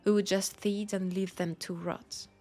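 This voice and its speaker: A woman playing a lonely, centuries-old female vampire in an intimate audio drama, with a French accent and a soft, confiding, unhurried delivery. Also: mid-range voice, little pitch movement, faint music behind, natural voice. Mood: dark amusement. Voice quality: soft, breathy, often dropping to a whisper. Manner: unhurried, confiding, pausing between phrases.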